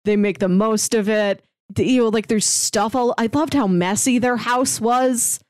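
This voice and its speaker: A woman's voice: fairly high pitch, a little slow, darkish tone, no echo, studio quality. A woman co-hosting a podcast, casually discussing a film in a conversational, easily amused way. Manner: conversational, often laughs while talking. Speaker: podcast co-host.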